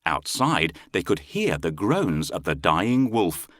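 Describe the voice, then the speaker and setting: A man's voice: sits low, sing-song, slow pace, fairly bright tone, no echo, clean studio sound. A man narrating a children's fairy tale as a scripted storyteller.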